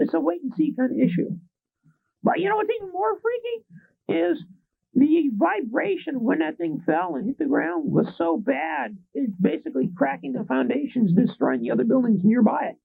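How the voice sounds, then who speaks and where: A man's voice: very high-pitched, very animated, moderate pace, gravelly, no room sound, phone-quality sound. A man hosting a public access call-in show, chatting casually with crude, joking banter. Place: small public access TV studio.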